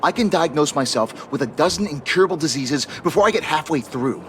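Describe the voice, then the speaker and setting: A man's voice: fairly high pitch, animated, a little fast, a bit bright, slight background noise. An actor voicing a glum, fatalistic male hypochondriac patient in a video game, resigned and defensive. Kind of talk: video game character acting. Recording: music behind the voice.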